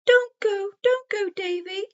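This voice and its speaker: A small, frightened forest creature from a children's storybook, put on by a woman. The voice leaps very high and pleads with urgency. It has an alarmed, singsong lilt. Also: slow pace, highs cut off. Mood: pleading.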